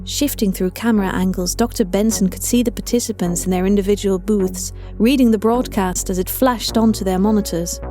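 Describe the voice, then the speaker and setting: Mellow, dark-voiced woman narrating fiction. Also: medium pitch, steady tone, brisk, hardly any pauses. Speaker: story narrator. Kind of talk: scripted audio fiction narration. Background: music.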